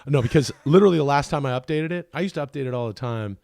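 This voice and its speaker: Gravelly-voiced man with a tired voice. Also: fairly high pitch, very fast, a little mellow, no room sound. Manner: rambling, full of fillers and restarts. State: voice a bit low and rough today. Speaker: podcast guest, actor. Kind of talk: casual conversation.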